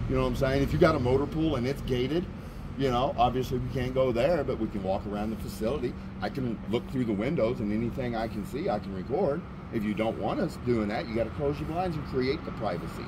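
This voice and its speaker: A middle-aged man with a loud, blunt, profane way of talking, arguing with police outdoors into a handheld camera rig. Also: medium pitch, a little fast. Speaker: camera-carrying activist. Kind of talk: heated street argument. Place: outdoors on a town sidewalk by a street. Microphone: handheld camera rig on a gimbal. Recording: outdoor street noise.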